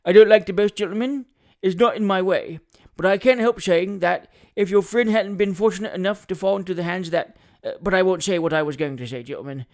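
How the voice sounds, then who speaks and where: A man's voice: high voice, a little fast. A man voicing a pompous, boastful small-time attorney who likes a drink, with hesitant mock modesty, in a comic Victorian audiobook. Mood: self-satisfied. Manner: self-important, hesitating mock modesty, flowery politeness.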